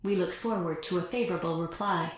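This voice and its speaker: An actress playing a composed military officer in a game, delivering mission briefings in a formal, measured manner. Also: female voice, fairly low pitch, close to an everyday voice. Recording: very echoey, music behind.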